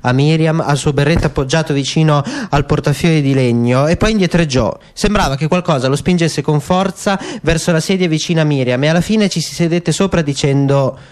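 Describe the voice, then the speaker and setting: A man's voice: a little high, a little fast, few pauses, darkish tone. A man giving a dramatic, scripted literary narration as an actor in a radio play.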